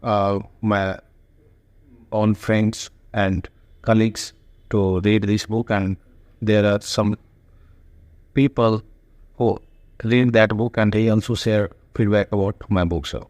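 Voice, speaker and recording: Hesitant man, low dry voice. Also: fairly even intonation, a little mellow, dry recording. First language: Hindi. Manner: hesitant, many pauses, politely says sir. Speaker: student and author being interviewed. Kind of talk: halting conversational answers.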